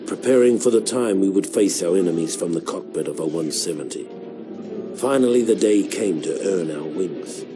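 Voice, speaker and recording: Grave low-voiced veteran soldier played by an actor, over music. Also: male voice, close to an everyday voice. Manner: measured, solemn storytelling. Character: grave, world-weary veteran male clone soldier recounting war memories. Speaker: narrator. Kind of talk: scripted narration, military memoir.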